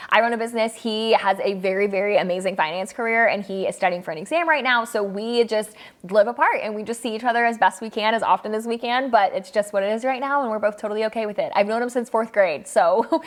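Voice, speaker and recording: A woman in her mid-twenties answering viewer questions in a casual vlog, upbeat and chatty, laughing often between her answers. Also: medium pitch. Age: 20s. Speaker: photographer and vlogger. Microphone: camera mic, filmed by a friend.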